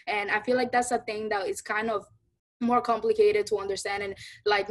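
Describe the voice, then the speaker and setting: Young woman student, a bit high, casual and chatty on a video call. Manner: casual, many likes.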